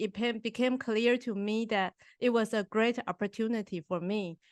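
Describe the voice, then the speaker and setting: A woman's voice: mid-range voice, smooth, no echo, studio quality. Taiwanese woman, careful non-native English. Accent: Taiwanese Mandarin. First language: Mandarin. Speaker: podcast guest. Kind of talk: casual interview.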